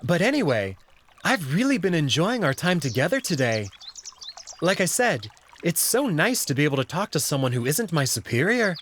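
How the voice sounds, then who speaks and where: A man's voice: fairly high pitch, big pitch swings, moderate pace, a bit bright, a little hiss or hum. A man voicing a friendly, well-spoken alien servant in a visual novel, explaining things politely. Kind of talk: visual novel character reading.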